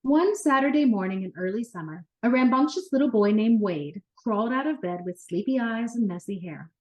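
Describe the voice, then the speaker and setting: A woman narrating a children's book as an expressive, animated read-aloud storyteller. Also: fairly high pitch, fairly quick, poor audio.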